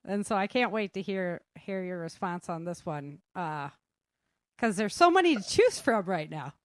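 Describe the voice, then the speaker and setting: A woman's voice: medium pitch, animated, normal speed, no echo. Female panel host, conversational and informal, mid-range voice, dry recording. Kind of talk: casual panel discussion.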